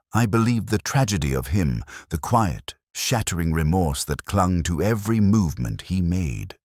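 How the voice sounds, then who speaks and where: A man with an extremely low voice narrates a detective story in the first person as a weary, melancholy inspector. His delivery is solemn, measured and literary, yet the pitch moves expressively through each phrase. The sound is dry and close, with no room around it. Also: a little mellow, no echo. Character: weary, melancholy male police inspector recounting a case. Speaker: narrator of an audio detective drama.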